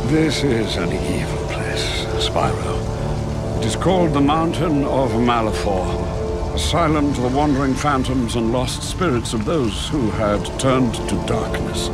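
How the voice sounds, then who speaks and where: Man as a slow, solemn old dragon mentor. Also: medium pitch, expressive, over loud music, natural voice. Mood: grave warning. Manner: solemn, cryptic, measured. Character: wise, calm old male dragon mentor.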